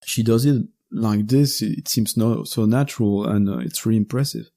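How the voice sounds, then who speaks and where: Gravelly Belgian French man, halting. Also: on the low side, warm tone. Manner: halting and searching for words. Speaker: guest podcaster. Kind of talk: casual conversational interview.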